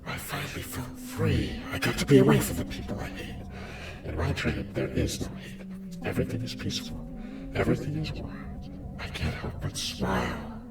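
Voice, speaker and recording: Casting: male actor as creepy dreaming figure for analog horror, swooping pitch, creaky, hushed and slow. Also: very animated, heavily acted. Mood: eerie, dreamy.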